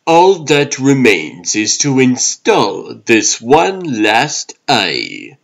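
A man's voice: medium pitch, huge pitch swings, drawn-out words, gravelly, voice pushed down, exaggerated voice. A man voicing a busy, fussy reptilian toymaker in a comic dub, muttering and preoccupied.

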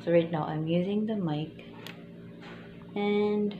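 A young Filipino woman talks through a microphone review from her small bedroom. She speaks in a soft, quiet, low voice and keeps an unhurried, chatty pace as she mixes English and Tagalog. The sound is roomy and a little dull, with steady hiss behind her. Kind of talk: casual product review. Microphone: phone camera, later a small clip-on mic.

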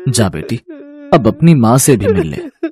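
A man playing a loving, emotional father of a bride in a cartoon story, with a husky voice. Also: medium pitch, huge pitch swings, a little slow, dark tone. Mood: tender sorrow.

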